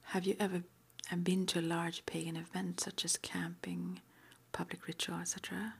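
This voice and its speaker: A woman whispering in an ASMR roleplay as an interviewer, breathy and halting, with gentle questions. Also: on the low side, flat delivery. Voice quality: breathy, mostly unvoiced whisper. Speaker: ASMR roleplay performer as witch school interviewer.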